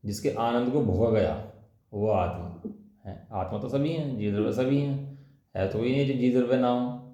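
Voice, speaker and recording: A man's voice: medium pitch, creaky, dark tone. A man giving a colloquial religious discourse, explaining scripture with rhetorical questions and repeated key phrases. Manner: colloquial teaching with rhetorical questions and tag words. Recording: echoey, reverberant room sound.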